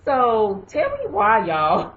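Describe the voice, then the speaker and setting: A young woman vlogger giving casual advice, rambling and filling pauses with "you know" and "um". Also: medium pitch, huge pitch swings. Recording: dull lo-fi recording.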